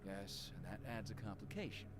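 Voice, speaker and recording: A man playing a steady, authoritative policeman in a game, with a measured and serious manner. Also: lowish voice, natural voice. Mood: concerned. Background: game music.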